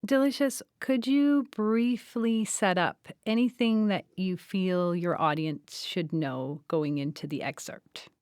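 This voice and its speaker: A woman's voice: fairly low pitch, slow, hardly any pauses, darkish tone, clean tone, no echo, clean studio sound. A woman hosting a theatre podcast, reading introductions and putting questions to a guest. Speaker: podcast host. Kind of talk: scripted podcast introduction and interview.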